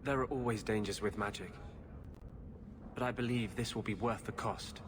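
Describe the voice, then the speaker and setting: A man's voice: on the low side, normal speed, noisy background, lightly acted. A man voicing an earnest, driven mage in a video game, speaking intently and with a guarded air. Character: earnest, driven male mage hiding something.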